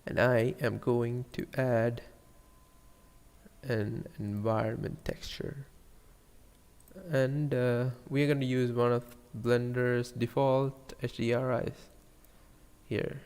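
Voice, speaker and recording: A man with a Sri Lankan accent giving a casual software tutorial, thinking aloud in a hesitant murmur as he works. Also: medium pitch, level tone, slow, long pauses, clean tone, long reverb tail. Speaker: 3D animation tutorial streamer.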